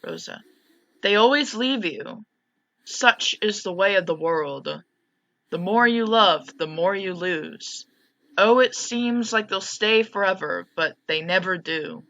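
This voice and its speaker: A woman's voice: fairly low pitch, bright, forceful tone, no room sound, muffled recording, exaggerated voice. A woman voicing a possessive, manipulative mother from a visual novel in a controlled, cloying manner. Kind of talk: visual novel dialogue read aloud.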